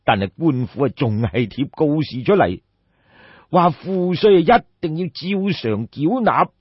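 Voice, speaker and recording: A man voicing a destitute old peasant in an audiobook story, sorrowful and respectful, with sighing, plaintive, drawn-out emphatic phrases. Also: a little high, very animated, no room sound. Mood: weary, aggrieved. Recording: dull, muffled lo-fi audio.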